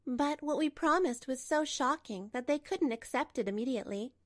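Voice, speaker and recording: A woman playing a composed betrayed wife in a manga dub, narrating and speaking with measured, pointed delivery. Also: high-pitched, no room sound, clean studio sound. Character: betrayed wife, composed, coolly resolute, narrating her story.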